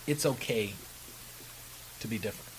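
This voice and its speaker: A man's voice: fairly low pitch, moderate pace, low-quality recording. A middle-aged man from the Bahamas answering as an interview guest, emphatic and often checking in with a short question. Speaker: podcast guest, personal development speaker. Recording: steady background hiss.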